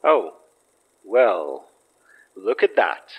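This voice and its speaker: A man's voice: deep voice, big pitch swings, extremely slow, slightly rough, heavily acted. Man acting a wry boss, slightly muffled. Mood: dry, teasing. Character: wry, protective male boss of paranormal investigators. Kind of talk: horror audio drama acting.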